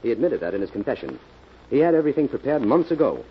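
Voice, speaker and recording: An assured detective played by a man lays things out at a fast clip with lively pitch. The delivery is classic radio mystery, on a muffled vintage recording. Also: slight background noise, natural voice. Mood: brisk, assured. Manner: brisk, assured, explanatory. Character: confident male detective explaining a solved case. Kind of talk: 1940s radio acting.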